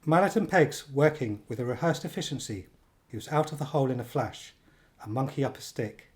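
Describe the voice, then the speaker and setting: Man as dry, mid-pitched radio play narrator. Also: lively intonation, fairly quick, lightly acted. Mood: dry, measured. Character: measured, deadpan male storyteller of a comic thriller.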